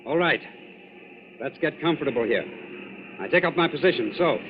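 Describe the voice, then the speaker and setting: An actor playing a smug, fanatical young Nazi saboteur in a 1940s radio drama, formal, precise and theatrically gloating. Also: male voice, a little high, animated, moderate pace, natural voice. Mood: smug, controlled. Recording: muffled, noisy old radio broadcast.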